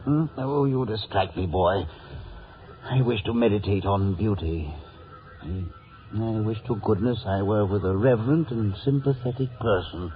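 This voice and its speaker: An actor playing a pompous, bookish, condescending gentleman who blusters and stammers when flustered, in 1950s radio drama style. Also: lowish voice, a little slow, close to an everyday voice. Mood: pompous scolding. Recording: old radio broadcast, narrow band, music behind.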